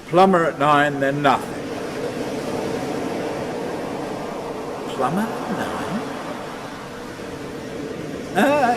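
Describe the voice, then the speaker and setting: An actor playing a haunted, tormented older man in a radio play monologue, speaking in halting fragments that move between hush and anguish. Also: fairly high pitch, heavy background noise. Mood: bleak, desolate. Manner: halting, fragmented, swinging from hushed to anguished cries.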